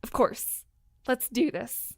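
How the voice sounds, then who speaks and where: A woman's voice: a little high, expressive, takes it slowly, vocal fry, a little mellow, slight background noise, heavily acted. A young woman with an American accent playing an earnest, long-suffering best friend in an audio drama, dry and fond. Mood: resolute. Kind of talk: naturalistic audio drama acting.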